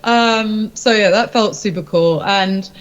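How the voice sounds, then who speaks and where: A woman's voice: medium pitch, slow, dull audio. A woman, a rock singer-songwriter, chatting casually in an interview in an informal, rambling, self-deprecating way.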